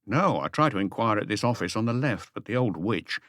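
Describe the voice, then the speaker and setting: A grumbling police inspector on guard, played by a male narrator, informal in his talk. The pitch moves about a lot, and the audiobook recording is dry and close. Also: animated, a little fast, fairly bright tone, no room sound. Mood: grumbling, confiding. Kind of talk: audiobook character voice.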